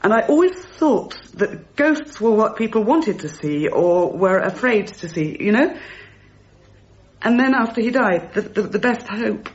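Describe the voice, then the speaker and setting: An actress playing a rational, brittle grieving mother in a naturalistic radio drama, speaking in halting, repeated, self-correcting phrases. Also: mid-range voice, animated, lo-fi sound, close to an everyday voice. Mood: anguished, insistent.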